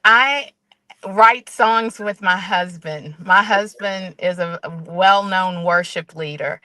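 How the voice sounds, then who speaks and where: A woman's voice: medium pitch, slow pace, bright, forceful tone, no echo. A woman chatting casually as a guest on a talk show. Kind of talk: casual chat.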